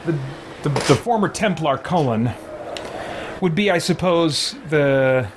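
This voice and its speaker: A man's voice: on the high side, expressive, takes it slowly, slight background noise. A man hosting a let's play, commenting casually on a video game as he goes.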